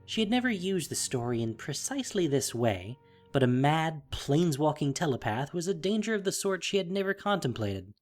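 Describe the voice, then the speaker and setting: A man's voice: a little high, sing-song, moderate pace, faint music behind. A man narrating a fantasy story as scripted dramatic prose.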